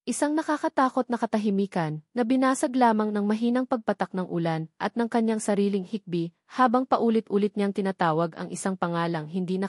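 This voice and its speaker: A woman reading a romantic drama aloud as its narrator, earnest and measured. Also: medium pitch, darkish tone, no room sound, clean studio sound. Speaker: storyteller.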